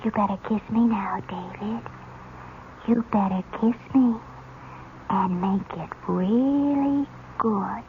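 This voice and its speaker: An actress in a 1970s radio mystery playing a sly teenage psychic girl, with a breathy, theatrical delivery. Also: mid-range voice, takes it slowly, long pauses, slight background noise. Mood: sly, taunting. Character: sly teenage girl psychic, taunting, feigning eerie trances. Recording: tinny old radio recording with music.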